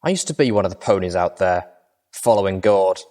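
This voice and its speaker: A man narrating a fan fiction story in first person at a measured, steady pace. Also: low voice. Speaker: audiobook reader.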